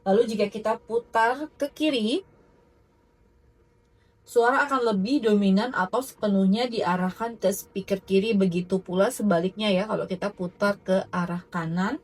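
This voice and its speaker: A woman presents a product demonstration. Her voice sits at a medium pitch. She explains things in an instructional manner, as a presenter guiding a user through features. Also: steady tone, moderate pace, poor audio. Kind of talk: product demo narration.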